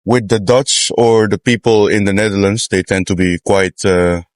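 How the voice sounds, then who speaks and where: Young man, low voice, hesitant with fillers, dry close sound, street interviewee. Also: fairly even intonation, moderate pace, no room sound. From Philippines. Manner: hesitant, many uh fillers, laughs easily. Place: outdoors on a street.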